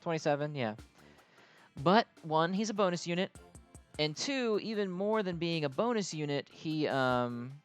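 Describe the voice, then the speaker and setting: A man's voice: on the high side, expressive, moderate pace, clean tone, faint music behind. A man giving casual, unscripted game commentary as a video host, explaining things in a hesitant, rambling way.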